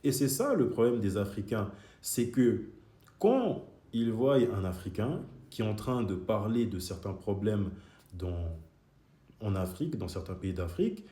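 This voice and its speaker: Argumentative low-voiced man in an echoey room. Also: warm tone. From Switzerland. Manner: argumentative, speaks straight to critics. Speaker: video creator. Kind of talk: heated monologue to commenters.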